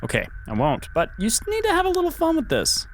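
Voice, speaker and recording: A man playing an excitable, needling male space crew member in an audio drama, teasing and eager. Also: very high voice, fast, a little hiss or hum, exaggerated voice.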